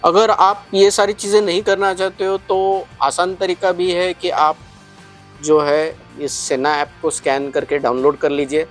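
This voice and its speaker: A man with a fairly high-pitched voice walks through a product step by step. He explains casually, slipping into English phrases as he goes. Faint music sits behind his voice. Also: steady tone, unhurried. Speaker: product reviewer and shop presenter.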